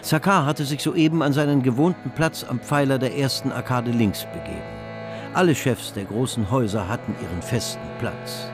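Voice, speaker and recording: A man reads literary narration for a radio drama. His pitch is medium and his delivery composed, like a storyteller, with music clearly underneath. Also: normal speed.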